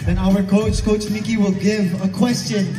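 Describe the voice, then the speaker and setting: A young man from the Philippines, translating the game rules for the crowd slowly and carefully. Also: high voice, flat delivery. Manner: slow, careful explaining. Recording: echoey live sound from far off, crowd and music.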